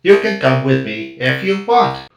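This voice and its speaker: Big, slow, gentle droid. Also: male voice, mid-range voice, lively intonation, vocal fry, reverberant, over loud music. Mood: friendly, earnest. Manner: slow, drawn-out, simple earnest delivery. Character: huge gentle timid loading droid, slow and friendly.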